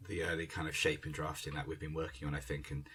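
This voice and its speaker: A man speaking earnestly in an unscripted discussion as a theatre director, halting and searching for his words. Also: rumbling low, little pitch movement, a bit bright, slight background noise. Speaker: theatre director in a panel conversation.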